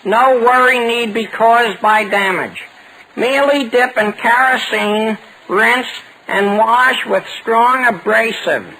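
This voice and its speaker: High-voiced, excitable teenage boy. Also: slow, full character voice, rough recording. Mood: confident. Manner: earnest, stammering, exclamatory. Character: eager, excitable teenage boy, scheming best friend. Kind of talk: 1940s radio comedy acting. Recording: hissy vintage 1940s radio recording.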